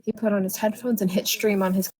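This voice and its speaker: A woman's voice: medium pitch, level tone, quick pace, a little mellow, poor audio. A woman reading a written story aloud in a dramatic narrating style. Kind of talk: dramatic bedtime-story narration of a comic horror tale.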